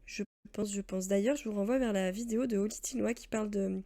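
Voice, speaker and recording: A woman's voice: medium pitch, steady tone, fast talker, dark tone. A woman in her thirties, chatting casually while streaming a game, rambling with many fillers and answering her viewers. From France. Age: 30s. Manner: rambling, chatty, laughs often, answers chat. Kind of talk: casual let's-play chat.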